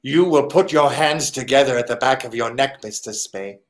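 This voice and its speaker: A man playing a courteous but menacing foreign gentleman crook in a 1940s-style radio drama, with formal, deliberate phrasing. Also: mid-range voice, close to an everyday voice. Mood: quietly menacing.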